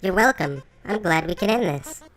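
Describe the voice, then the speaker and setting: A woman voicing an earnest young flower creature in a comic dub, measured and slightly exasperated. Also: fairly low pitch, lively intonation, a bit bright. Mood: conciliatory. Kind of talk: fan comic dub acting. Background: faint music.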